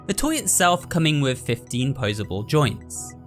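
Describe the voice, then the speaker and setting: Lively male narrator over faint music. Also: mid-range voice, normal speed. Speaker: video host. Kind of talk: scripted narration, trailer breakdown.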